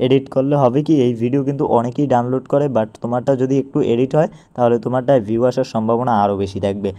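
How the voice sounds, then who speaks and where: A Bangladeshi man talks through an app tutorial in a very dark, soft-edged voice. His pace is brisk and his wording informal. He explains each step and then repeats it in an instructional way. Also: mid-range voice, fairly even intonation. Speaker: tutorial presenter. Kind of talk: informal step-by-step screen walkthrough.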